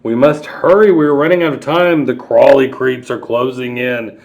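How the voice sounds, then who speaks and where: A man voicing a small, excitable young dinosaur from a picture book, with a dramatic, urgent manner. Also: medium pitch, normal speed, natural voice. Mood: alarmed, urgent.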